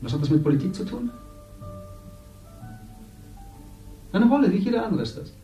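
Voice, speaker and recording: A man's voice: high voice, very animated, very fast, warm tone. Naive actor who thinks he's a minister now, earnest, bit far off in an echoey room, faint music, old radio play. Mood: explaining. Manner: earnest, self-assured, explaining. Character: male actor turned TV minister, eager, naive. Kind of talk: 1970s radio drama acting.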